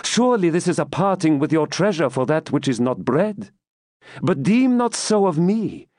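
A man's voice: on the high side, very animated, moderate pace, a little mellow, no room sound, clean studio sound, voice pushed up. A man voicing a proud, devout young woman captive in an audiobook, with formal, archaic and earnest speech. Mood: solemn, pitying. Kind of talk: dramatised audiobook reading.

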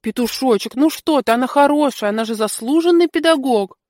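A woman's voice: sits high, expressive, brisk, a little mellow, no echo, studio quality. A woman voicing a proud, doting, bossy grandmother in a storybook, insistent and self-satisfied. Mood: doting, coaxing. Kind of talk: storybook character dialogue.

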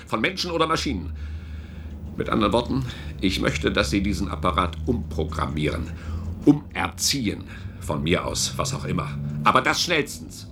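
An actor playing an impatient government official in a German radio play, formal and bitingly sarcastic. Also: male voice, on the low side, a bit bright, slight background noise. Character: sardonic, impatient male government official named Charles.

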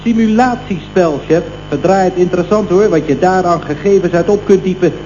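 An actor playing an obliging, naively enthusiastic employee who earnestly explains himself in a vintage radio comedy. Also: male voice, high voice, moderate pace, mellow, faint music behind, close to an everyday voice. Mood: eager explaining. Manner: obliging, earnest explaining, keeps addressing his boss. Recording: dull old radio recording, faint noise.

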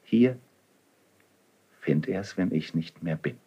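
Doomed idealistic nobleman, husky, low-pitched, declamatory, solemn, fervent, 1970s radio play verse. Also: expressive, slow pace, warm tone, muffled recording, exaggerated voice. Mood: solemn, confessional. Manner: declamatory, solemn, emphatic delivery. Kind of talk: 1970s German radio drama, classical stage verse.

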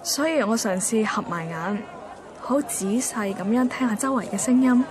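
A woman's voice: a little high, moderate pace, crowd noise, noisy background, lightly acted. An actress playing a sentimental, timid young woman photographer, narrating a radio drama introspectively in Hong Kong Cantonese. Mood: wistful. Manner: introspective, colloquial storytelling.

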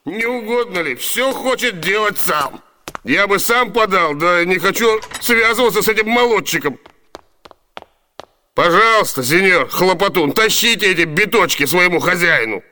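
A man's voice: high voice, lively intonation, moderate pace, bright, forceful tone, full character voice. A man playing a grumbling, sarcastic inn waiter in a comic radio play, recorded in a reverberant space. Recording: reverberant hall, faint hiss.

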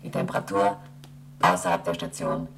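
A man voicing a male lunar station computer in a radio play, reading out times, temperatures and readings in a flat, dutiful report. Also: low voice, normal speed, gravelly, a little hiss or hum. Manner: monotone reporting of figures and readings.

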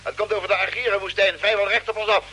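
Urgent high, bright spaceship lookout. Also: male voice, level tone, rapid-fire, low-quality recording. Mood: tense. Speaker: radio drama actor. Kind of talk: mid-century radio play acting. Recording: old radio recording with faint noise.

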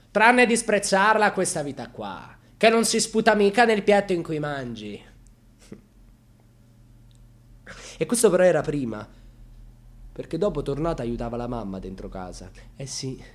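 A husky, bitterly ironic storyteller. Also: male voice, mid-range voice. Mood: mocking, emphatic. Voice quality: husky, often dropping into breathy whispers. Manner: dramatic, swinging from hushed murmurs to loud outbursts. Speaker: actor narrating a dramatic monologue. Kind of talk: theatrical monologue for radio theatre.

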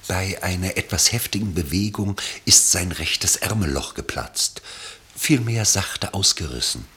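A man narrating a radio play from a literary script in a measured, dryly ironic way. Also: deep voice, sharp tone.

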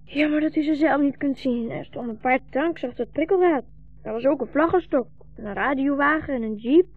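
Woman doing eager little kid boy in a 60s radio play, high voice, thin tinny recording. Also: brisk, no echo, lightly acted. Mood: eager, pleading. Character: hungry young boy, eager, trusting guide to rabbi. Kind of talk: 1960s radio drama acting.

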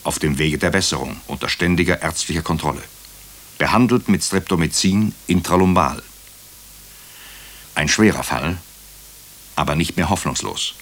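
An actor playing a brooding hospital doctor who narrates a radio crime drama in clipped, deliberate phrases. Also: male voice, deep voice, a bit bright. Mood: grave, measured. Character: brooding male hospital doctor recounting a murder case. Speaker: actor narrating as the lead character.

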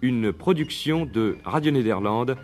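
Slow, formal male radio announcer over music. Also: mid-range voice, lively intonation. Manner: formal, deliberate. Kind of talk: scripted closing credits for a radio play. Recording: vintage radio broadcast recording.

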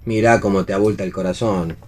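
An Argentine actor playing a wry, bantering husband, fond but sceptical, in a radio play reading. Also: male voice, deep voice, fairly even intonation, brisk, a little mellow, a little hiss or hum, natural voice.

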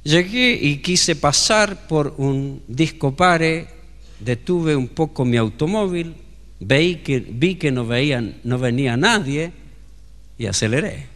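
A Chilean man preaches in a bright, sharp voice that swings widely in pitch. He takes his time and tells his story like a storyteller. A long reverb from the hall trails each phrase. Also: lively intonation, takes it slowly. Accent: Chilean Spanish. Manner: storytelling preacher, acting out dialogue. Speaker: pastor. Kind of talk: sermon.

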